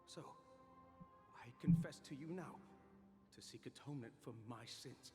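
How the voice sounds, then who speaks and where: A man's voice: mid-range voice, normal speed, mellow, lightly acted. An actor playing a humble, guilt-ridden male servant in a video game, speaking hesitantly and deferentially. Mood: guilty, anxious. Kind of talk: scripted game voice acting. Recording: long hall reverb, music behind.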